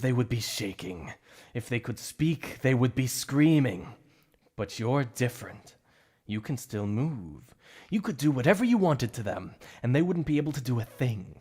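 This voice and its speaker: A man voicing a shy, obsessive male supervillain in an ASMR roleplay, soft-spoken and stammering over his slips of the tongue. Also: mid-range voice.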